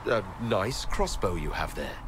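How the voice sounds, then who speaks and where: A man's voice: sits low, very animated, unhurried, background noise. A man playing a guarded, blunt prisoner mage, the hero of a video game.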